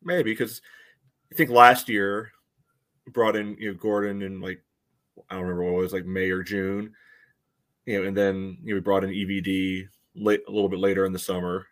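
A man co-hosting a sports podcast, giving his views on soccer in a measured, matter-of-fact, conversational way. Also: low voice, little pitch movement, a bit bright. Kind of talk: casual soccer chat.

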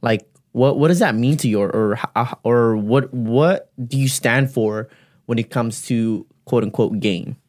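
Laid-back guy hosting a podcast, says bro a lot, mid-pitched voice. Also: a little slow, darkish tone. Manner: casual, stammers and restarts, says "bro". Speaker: podcast host. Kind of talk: casual podcast interview.

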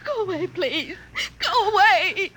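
A woman's voice: extremely high pitch, lively intonation, takes it slowly, heavily acted. An actress playing a terrified, hysterical young woman in an old-time radio drama. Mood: hysterical, tearful. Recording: very noisy vintage radio recording.

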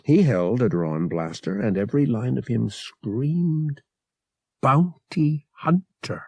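A man's voice: medium pitch, expressive, measured pace, gravelly, warm tone, slightly rough, dry recording. A man narrating fiction as an audiobook reader, in a dramatic scripted storytelling style.

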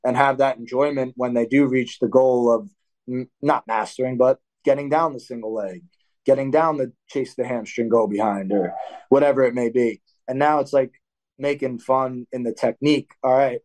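A man's voice: mid-range voice, moderate pace, poor audio. Rambling young man coaching talk. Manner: rambling, self-correcting, animated when acting out drills. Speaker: wrestling coach and athlete, guest. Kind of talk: casual interview talk.

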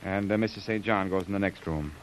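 An actor playing a grave, kindly jungle doctor in an old radio drama, measured and a little hesitant. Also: male voice, sits low, natural voice. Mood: brisk. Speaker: doctor character. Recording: noisy old radio recording.

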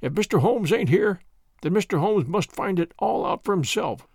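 A man voicing a frail, stubborn old sailor who speaks in rough old-timer dialect. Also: high-pitched, animated, moderate pace, darkish tone, no room sound, clean studio sound, heavily acted. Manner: stubborn, grumbling. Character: frail, petulant old male sailor in disguise.

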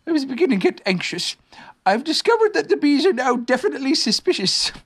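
Reader doing a silly little storybook bear, way up high, earnest n halting, swoopy pitch. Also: big pitch swings. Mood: earnest, scheming. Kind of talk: children's storybook character voice.

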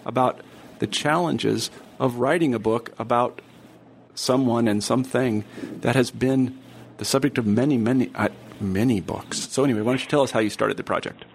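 A man's voice: medium pitch, moderate pace, vocal fry, a little hiss or hum. A middle-aged man from the American Midwest hosting a radio book interview, genial and hesitant, with frequent fillers. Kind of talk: book interview, read intro then chat.

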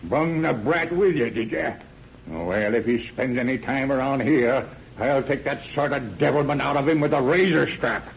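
Casting: male actor as crotchety old rural American patriarch, gravelly, gruff, folksy. Old radio play, thin hissy sound. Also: mid-range voice, normal speed, close to an everyday voice. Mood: gruff, disapproving. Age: older. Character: stern, cantankerous old country father. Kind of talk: old-time radio drama acting. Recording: tinny, noisy old radio recording.

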